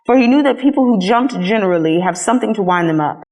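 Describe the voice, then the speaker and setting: A woman reads a children's storybook with a medium-pitched voice. Her delivery is scripted narration, told expressively as a storyteller would. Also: lively intonation, fast talker, a little mellow. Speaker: storybook reader.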